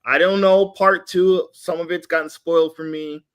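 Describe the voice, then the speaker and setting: A man's voice: on the high side, fairly even intonation, normal speed, clear, resonant tone. A man chatting casually on a podcast panel, giving opinions in an emphatic, colloquial way.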